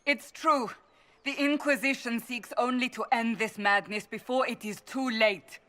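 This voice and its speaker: A woman's voice: fairly high pitch, unhurried, pushed and bright. An actress playing a resolute, earnest woman warrior in a fantasy game, speaking formally and seriously. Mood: urgent. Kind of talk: fantasy game cutscene dialogue.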